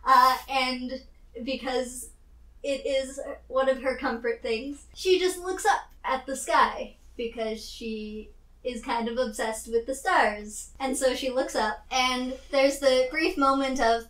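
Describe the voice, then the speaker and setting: A woman playing a tabletop role-playing game, narrating casually in an animated, giggly, rambling way. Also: high-pitched, slow, echoey room.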